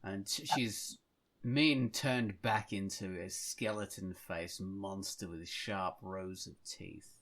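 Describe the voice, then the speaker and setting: A man hosting a podcast, narrating scenes and chatting casually, pausing to search for words. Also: low voice, steady tone, fairly bright tone. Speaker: podcast host.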